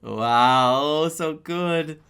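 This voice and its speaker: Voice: medium pitch, very slow, a bit bright, a little hiss or hum. A game streamer from Greece giving casual commentary and reacting aloud while playing. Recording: game music behind the voice. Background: game music.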